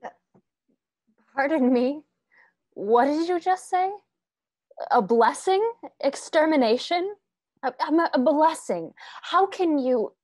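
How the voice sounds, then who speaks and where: A woman playing an outraged, principled frontier woman in a radio western, challenging others in halting, emphatic phrases. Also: sits high, lively intonation, darkish tone, no echo, clean studio sound. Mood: shocked, offended. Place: indoors at home, video call.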